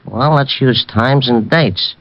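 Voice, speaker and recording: An actor playing a deadpan, matter-of-fact policeman in a 1940s radio detective drama. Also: medium pitch, slow. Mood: deliberate. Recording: dull vintage radio recording.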